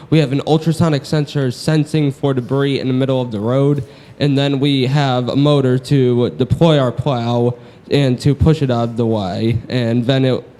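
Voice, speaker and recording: A man's voice: mid-range voice, dark tone. A young man plainly explaining how a robot is programmed. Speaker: student presenter. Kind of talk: explaining a project.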